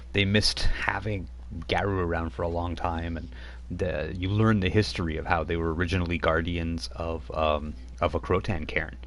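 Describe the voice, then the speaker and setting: A very deep, gravelly man running a tabletop game, who explains rules and narrates scenes. His narration rambles, with restarts and fillers. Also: a little hiss or hum. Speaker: game master.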